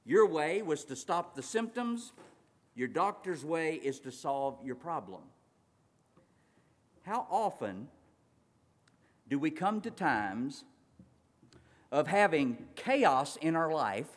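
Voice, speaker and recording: A man preaching in a church, his fairly high voice ringing with a long hall reverb. He swings from loud urgent passages to low, slow, quiet ones, stretching words out for weight. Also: very animated. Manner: dramatic swings between loud and quiet, slowing for emphasis. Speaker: pastor. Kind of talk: sermon.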